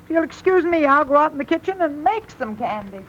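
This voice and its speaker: A woman playing a bossy, meddling grandmother, brisk and outspoken, in 1930s radio comedy style. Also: sits high, animated. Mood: bossy, breezy. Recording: dull, noisy 1930s radio recording.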